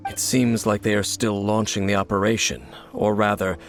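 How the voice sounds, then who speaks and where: An actor voicing a mysterious, grave male wanderer in a video game, speaking in a solemn, measured, matter-of-fact way. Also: fairly low pitch, level tone, vocal fry, soft background music. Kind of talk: video game cutscene voice acting.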